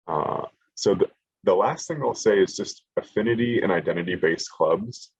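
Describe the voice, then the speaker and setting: A man's voice: low voice, normal speed, slightly rough, dry recording, low-quality recording. A man giving a casual college prep workshop over a video call, with lots of fillers and restarts. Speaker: college admissions presenter. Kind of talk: online workshop presentation.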